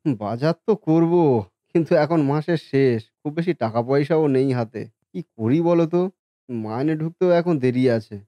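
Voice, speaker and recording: A man's voice: fairly high pitch, a little slow, mellow, dry recording, natural voice. A man voicing a kindly, earnest married brother and husband in a Bengali family cartoon. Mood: worried.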